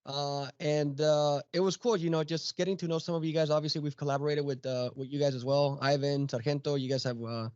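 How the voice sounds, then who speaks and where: A man's voice: a little high, fairly even intonation, a little fast, hardly any pauses, darkish tone, clean tone, no room sound, highs cut off. A man in his late thirties from Mexico, a podcast guest chatting casually with many fillers and restarts. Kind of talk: casual chat.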